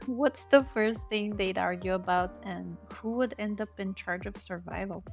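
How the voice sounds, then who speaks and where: A woman's voice: mid-range voice, measured pace, vocal fry, soft background music. A woman interviewer putting a playful question to guests.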